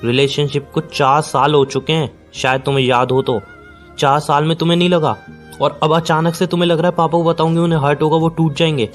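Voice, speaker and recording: Actor as a high-pitched, exasperated young boyfriend. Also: male voice, fairly even intonation, a little fast, faint music behind, natural voice. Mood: incredulous, hurt. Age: young adult. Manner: repetitive, pressing, exasperated. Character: young boyfriend, hurt, incredulous, pleading against a breakup. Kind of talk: acted phone-call drama in conversational Hindi with some English.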